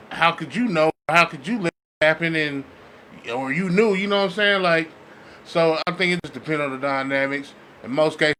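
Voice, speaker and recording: Male American podcast co-host, higher-pitched and sharp, loose slangy storyteller, clear background noise. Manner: slangy, conversational, often checks the listener follows. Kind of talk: casual podcast chat and storytelling.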